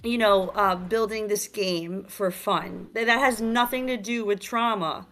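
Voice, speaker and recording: A woman's voice: medium pitch, a little slow, faint music behind. A young woman from New York with a New York accent, talking casually as a guest about her game, streetwise and full of fillers. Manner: energetic, streetwise, many fillers like "like" and "you know". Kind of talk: casual interview chat. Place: virtual talk show, remote.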